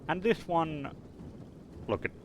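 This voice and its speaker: A man's voice: fairly high pitch, expressive, takes it slowly, noisy background. A man narrating an unscripted step-by-step screen tutorial, instructing and thinking aloud as he works. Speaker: tutorial host.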